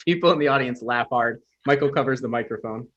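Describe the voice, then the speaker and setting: A man's voice: mid-range voice, fast, fairly bright tone, highs cut off. A man reading stage directions as narrator in a scripted comedy table read.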